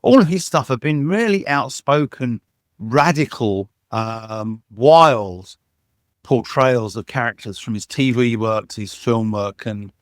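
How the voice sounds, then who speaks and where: A man's voice: mid-range voice, takes it slowly, dry recording, clean studio sound. A man who works as a sound engineer, talking as an interview guest, telling enthusiastic, rambling stories full of restarts and fillers. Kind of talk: casual interview conversation.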